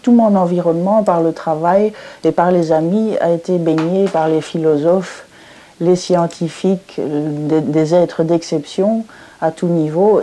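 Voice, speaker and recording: Middle-aged Belgian-born woman painter, low and very mellow voice, measured and reflective. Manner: thoughtful, measured, searching for words. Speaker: painter and philosopher interviewed. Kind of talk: reflective television interview.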